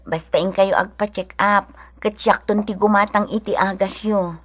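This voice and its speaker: A woman's voice: medium pitch, steady tone, normal speed, close to an everyday voice. A woman playing a kind, generous village wife with an Ilocano accent in a radio drama, speaking with earnest care. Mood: caring, urging. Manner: earnest, caring. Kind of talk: Filipino radio drama acting. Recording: radio drama with music underneath.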